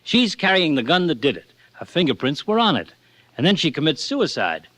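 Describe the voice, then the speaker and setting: Skeptical vintage-radio policeman, gruff and stubborn, slightly high pitch with lively swings. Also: brisk. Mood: certain, insistent. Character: skeptical, exasperated male police official, stubborn. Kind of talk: 1940s radio detective acting.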